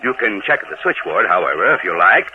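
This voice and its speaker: An actor playing a fussy, self-important American insurance boss with an avuncular, blustering manner in an old radio drama. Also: male voice, lowish voice, very animated, fast talker. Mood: paternal, flustered. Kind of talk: 1950s radio drama acting. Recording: tinny old radio, loud hiss.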